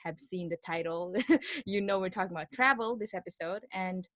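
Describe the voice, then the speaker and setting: A woman's voice: fairly low pitch, normal speed, fairly bright tone, dry recording. A young woman hosting a casual podcast, chatty and giggly, full of "like" and "you know". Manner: giggly, filler-heavy, loose and chatty. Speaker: podcast host. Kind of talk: casual chat. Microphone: video call.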